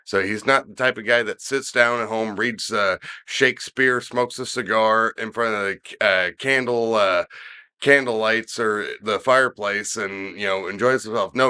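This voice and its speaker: A man's voice: fairly low pitch, sharp tone, clean tone. A middle-aged man with a slightly nasal, congested voice, chatting casually as a podcast host in a rambling, opinionated, colloquial way. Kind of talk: casual wrestling chat.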